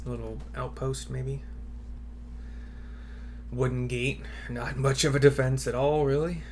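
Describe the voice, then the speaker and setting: A man narrates his game in an offhand, halting ramble. His pitch is middling, and there is clear background noise with keyboard typing. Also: little pitch movement, a little mellow. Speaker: video game streamer. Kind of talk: casual gameplay commentary.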